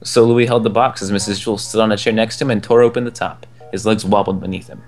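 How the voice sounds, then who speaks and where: A young man reading a children's book aloud in a relaxed way, chuckling now and then at the text. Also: lowish voice, fast talker. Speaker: storyteller. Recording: soft game music behind the voice.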